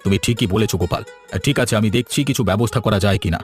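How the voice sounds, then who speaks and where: A man's voice: on the low side, very fast, soft background music, lightly acted. An actor playing a mild, self-excusing village headman in a cartoon. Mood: rueful. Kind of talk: cartoon voice acting.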